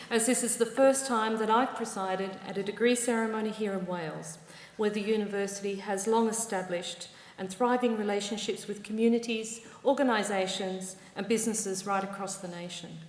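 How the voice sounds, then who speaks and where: Australian woman, ceremony speech, measured and warm, big echoey hall. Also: mid-range voice, fairly even intonation. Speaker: university ceremony presider. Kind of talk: formal ceremony address.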